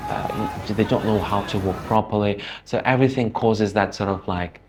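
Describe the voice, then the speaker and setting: A man from Russia, an English teacher talking earnestly in an interview, pausing often as he thinks. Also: lowish voice. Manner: earnest, chatty, with many pauses. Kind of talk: documentary interview talk. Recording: background music and ambient noise.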